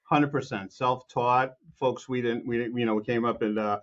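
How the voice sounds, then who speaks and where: A man's voice: mid-range voice, fairly even intonation, moderate pace, muffled recording. A man from Chicago, a composer guest, answering interview questions in a rambling way with many restarts.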